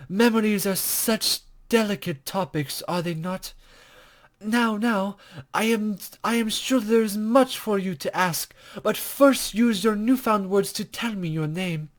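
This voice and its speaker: A man's voice: high voice, measured pace, pitched above the performer's own voice. A man voicing a mysterious, courteous lady guide in a visual novel game, with soothing, formal, flowery speech. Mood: gentle, gracious.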